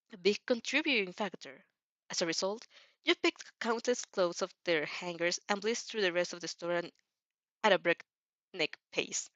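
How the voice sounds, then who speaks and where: A woman's voice: medium pitch, a bit bright, dry recording. A woman reading fiction aloud as narrator, often stumbling and repeating phrases. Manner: stumbles over words and rereads phrases. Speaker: amateur fan fiction reader. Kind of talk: storybook narration read aloud. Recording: dry, slightly dull lo-fi recording.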